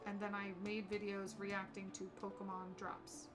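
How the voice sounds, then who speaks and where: A woman's voice: medium pitch, completely flat delivery, normal speed, music in the background. A woman livestreaming games in casual, slangy chat, chatty and opinionated as she talks to viewers. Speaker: streamer, content creator.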